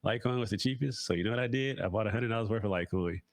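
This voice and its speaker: A man's voice: lowish voice, rapid-fire. A man talking casually as a podcast host, nasal and congested from a cold, rambling with fillers and restarts. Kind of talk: casual solo talk.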